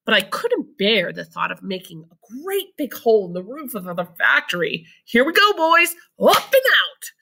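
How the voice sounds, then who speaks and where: A woman's voice: a little high, very animated, normal speed, creaky, hardly any pauses, sharp tone, voice pushed up, exaggerated voice. A woman voicing an eccentric, cheerful male candy maker with bubbly, theatrical exclamations in a read-aloud storybook. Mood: thrilled.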